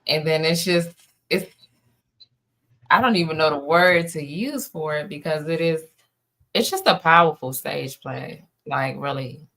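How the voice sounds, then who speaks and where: A woman with a low voice talks casually in an interview as a playwright. She is emphatic and conversational, rambling a little as she explains. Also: unhurried, gravelly, fairly bright tone. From Washington, D.C. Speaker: playwright and director being interviewed.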